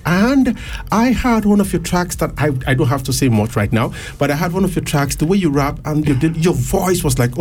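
A man hosting a live radio interview from a studio, chatty, laughing often and filling pauses with ums. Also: on the high side, darkish tone. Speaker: radio DJ host.

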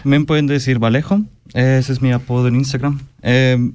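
A man's voice: medium pitch, little pitch movement, moderate pace, a little mellow, clean tone. A man with a Colombian accent talking casually as an interview guest. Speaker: guest music producer.

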